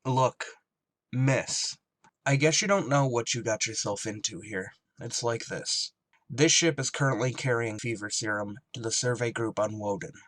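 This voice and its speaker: An actor playing a gruff, tormented emergency ship pilot in a radio drama, terse and weary under strain. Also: male voice, medium pitch, normal speed, a bit bright, dry recording, natural voice. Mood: grim, reluctant. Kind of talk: radio drama acting with narrated inner monologue.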